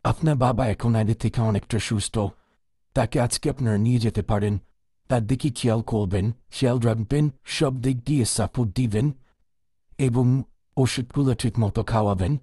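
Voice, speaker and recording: Here is a man acting a calm cartoon doctor who gives advice to a family. The voice is husky and mellow, recorded close and dry. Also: medium pitch, normal speed, no echo, close to an everyday voice. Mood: calm, advising. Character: calm adult male doctor advising a patient's family. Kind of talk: cartoon dialogue.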